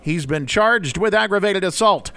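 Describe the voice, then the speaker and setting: This is a man anchoring a radio newscast. His voice sits high for a man. He reads prepared news copy in bulletin style. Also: expressive, normal speed, vocal fry, pushed and bright, clear, resonant tone, no echo. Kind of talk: scripted radio news reading.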